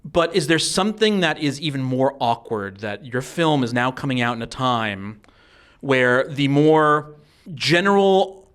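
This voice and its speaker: A man's voice: mid-range voice, lively intonation, measured pace, fairly bright tone, clear, resonant tone. Male interviewer with a meandering conversational delivery. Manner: wordy, meandering questions.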